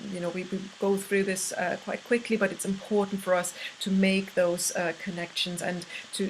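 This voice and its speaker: A woman from Germany with a German accent speaks as a university lecturer, giving an informal course presentation in a halting way with frequent pauses. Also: mid-range voice, a little hiss or hum, poor audio. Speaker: university art history lecturer.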